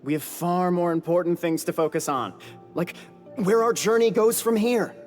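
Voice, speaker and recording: A man's voice: high voice, moderate pace, echoey room, big-room sound, lightly acted. A man voicing a bossy, pompous clan leader creature who fancies himself a poet, in a video game. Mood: snippy, dismissive. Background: prominent background music.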